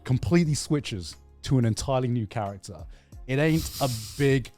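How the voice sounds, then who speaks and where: A man chatting casually about video games as a podcast host, telling it in an animated, profane way with halting repeats. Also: medium pitch, soft background music. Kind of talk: casual video game chat.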